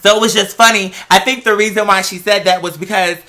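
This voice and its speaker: A man telling personal stories as a host in a casual, sassy, dramatic way, with animated emphasis and lots of slang and swearing. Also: high-pitched, quick pace. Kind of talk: casual chat, personal storytelling.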